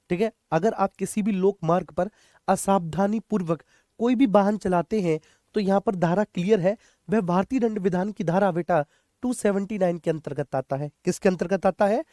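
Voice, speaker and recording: A coaching teacher with a mid-pitched voice in a dry, close recording. The delivery is a classroom lecture that repeats key points, puts questions to students and addresses them like children. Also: darkish tone, no room sound. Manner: repeats key points, often checks understanding. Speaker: teacher, exam coaching instructor.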